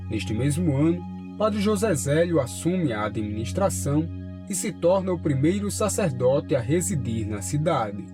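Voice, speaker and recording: Male voice-over narrator, slightly high, formal and measured, guitar music under. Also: big pitch swings. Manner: measured, formal documentary delivery. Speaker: documentary narrator. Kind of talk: scripted narration.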